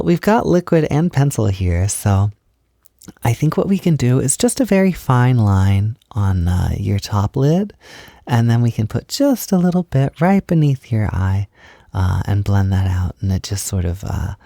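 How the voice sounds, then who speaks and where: Male, soft intimate roleplay, encouraging and gentle, gravelly fry, very dry close recording. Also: fairly low pitch, sing-song, unhurried, dry recording. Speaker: roleplay audio performer. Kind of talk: soft-spoken intimate roleplay, like a personal makeup tutorial.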